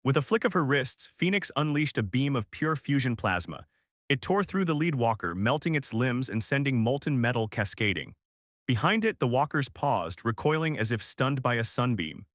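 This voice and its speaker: Guy narrating a sci fi war story, formal measured read, medium pitch, super dry sound. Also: sing-song, fairly bright tone, dry recording. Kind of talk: scripted narration of a science fiction war story.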